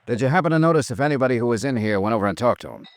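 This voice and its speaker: An actor playing a terse, businesslike American investigator who questions and narrates in a matter-of-fact 1950s radio drama style. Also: male voice, medium pitch, expressive, rapid-fire, lightly acted. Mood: probing. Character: terse, businesslike male investigator narrating his missing-person case. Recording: old radio drama with music behind.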